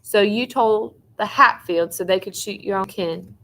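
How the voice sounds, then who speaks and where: A woman's voice: mid-range voice, measured pace, gravelly, bright, forceful tone, exaggerated voice, poor audio. A woman voicing a stern eldest brother from a rural family in a storybook, accusing and authoritative with folksy phrasing.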